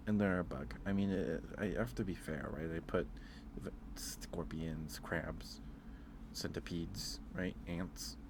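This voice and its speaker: A man streaming casual ASMR chat, talking haltingly, often repeating words and trailing off. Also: deep voice, noisy background. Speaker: streamer hosting a ranking.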